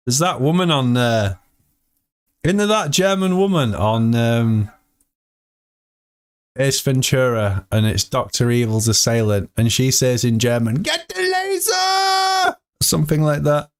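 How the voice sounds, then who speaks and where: A man's voice: mid-range voice, animated, slow, pauses often, clean tone. Laughing, jokey man in his thirties. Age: 30s. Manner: jokey, laughs often, chatty. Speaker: live stream host. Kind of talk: casual live-stream chat. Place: hot room indoors.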